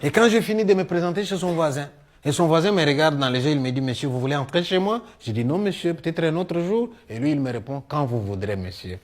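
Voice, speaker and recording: Conteur congolais à la voix assez aiguë. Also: male voice, on the high side, fast talker. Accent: Congolese French. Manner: animated storyteller, acting out dialogue, punctuating with "hein". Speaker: stage storyteller and comedian. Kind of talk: comic one-man storytelling show.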